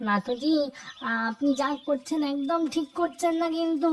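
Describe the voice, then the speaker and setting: A woman's voice: sits high, moderate pace, far from the mic, lightly acted. A woman voicing an earnest, aggrieved male village labourer in a cartoon, pleading and reproachful. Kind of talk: cartoon character acting.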